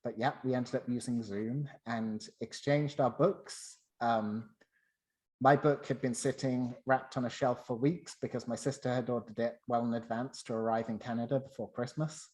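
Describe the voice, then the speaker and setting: A man's voice: mid-range voice, fairly even intonation, moderate pace, a little mellow, rough recording. A man from the UK with a British accent, presenting a semi-scripted personal anecdote.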